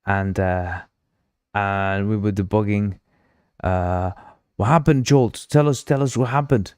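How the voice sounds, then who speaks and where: A man's voice: fairly low pitch, takes it slowly, a little mellow, clean tone, dry recording, studio quality. A man with a Uruguayan Spanish accent, a native Spanish speaker, hosting a casual live coding stream, rambling and repeating himself as he reads the chat aloud. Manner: drinking while streaming. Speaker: livestream host.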